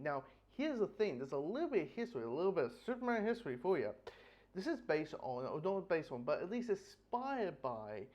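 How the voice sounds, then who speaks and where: Dull lo-fi recording; male reviewer, slightly high-voiced, rambling and blunt, casual unscripted delivery. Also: a little mellow. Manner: rambling, halting, repeats phrases. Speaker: book reviewer.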